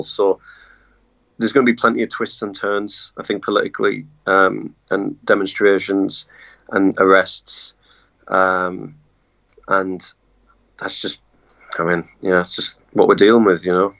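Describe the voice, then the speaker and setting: A man with a northeast English accent speaks as a journalist guest, his voice low and gravelly. He talks haltingly, with frequent pauses and restarts. The remote call audio sounds dull and lo-fi. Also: level tone, fairly bright tone. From northeast England. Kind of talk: casual remote interview.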